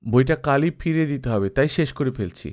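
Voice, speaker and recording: A man's voice: medium pitch, animated, quick pace, darkish tone, natural voice. A man voicing a naive, earnest young brother-in-law who questions in bewilderment, in a dramatised novel reading. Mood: subdued.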